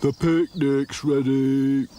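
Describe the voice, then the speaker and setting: A man voicing a glum, slow-witted boy rag doll who talks slowly and mournfully. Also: on the low side, animated, darkish tone, a little hiss or hum.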